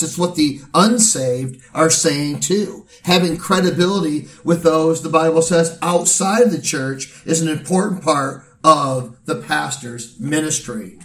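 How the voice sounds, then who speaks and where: A man preaching a sermon as pastor, his voice hoarse and gravelly, speaking in an earnest, measured way with pauses. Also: on the high side. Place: church sanctuary. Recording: roomy sound from a distant mic.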